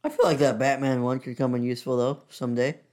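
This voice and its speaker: A young man banters as a podcast co-host. His voice is mid-pitched for a man. He talks in a casual, conversational style. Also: steady tone, fast talker, a little mellow.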